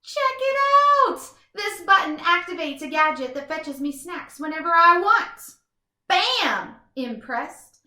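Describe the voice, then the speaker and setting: A woman acts out a comfort-loving couch potato from a picture book, very pleased with himself. The voice is high and bright, leaping about in theatrical exclamations. It sounds in an echoey room. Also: big pitch swings, slow pace, exaggerated voice. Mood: excited, boastful. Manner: exclamatory, theatrical, self-satisfied. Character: comfort-loving, boastful, easily thrilled male couch potato. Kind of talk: first-person children's storybook read-aloud.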